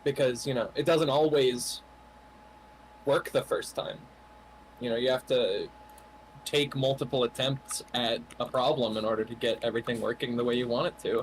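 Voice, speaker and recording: A man's voice: mid-range voice, normal speed, poor audio. Dev guy explaining stuff on a stream, lots of you know, faint noise under it. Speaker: game developer answering questions. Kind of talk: casual livestream chat.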